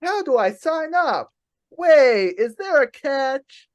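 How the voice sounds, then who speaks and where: A woman's voice: high voice, sing-song, slow pace, darkish tone, full character voice. A woman playing gullible customers giving earnest testimonials in a parody radio advert. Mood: curious.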